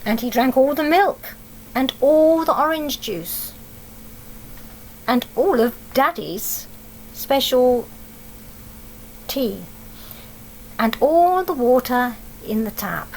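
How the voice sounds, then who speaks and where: An English-accented woman reads a picture book to young children in a high voice. She is expressive, like a teacher, pausing to explain and ask little questions. Faint noise runs behind her. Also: poor audio. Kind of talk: storybook read-aloud with asides to young children.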